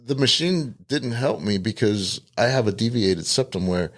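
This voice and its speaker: Low-voiced congested man, laughing storyteller. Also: normal speed. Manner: laughs a lot, animated storytelling. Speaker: guest, cousin. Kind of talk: casual storytelling chat.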